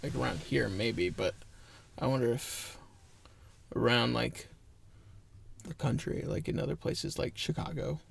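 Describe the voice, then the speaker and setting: A man's voice: mid-range voice, unhurried, creaky, long pauses, breathy edge. A young man co-hosting a podcast in a casual, joking way, laughing often. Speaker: podcast co-host. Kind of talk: casual chat.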